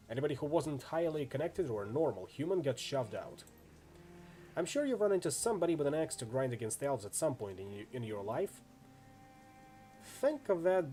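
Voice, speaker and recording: A man's voice: mid-range voice, warm tone, close to an everyday voice. A man voicing a friendly, easygoing orc swordsman in a video game, chatty and full of laid-back street slang. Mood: candid, wry. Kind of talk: acted reading of role-playing game dialogue.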